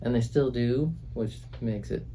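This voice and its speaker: A man, a music producer and drummer, talking in a relaxed, halting way in a recording studio about the songs he played on. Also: mid-range voice, slight background noise, poor audio. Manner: reflective, halting, many fillers. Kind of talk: casual conversation.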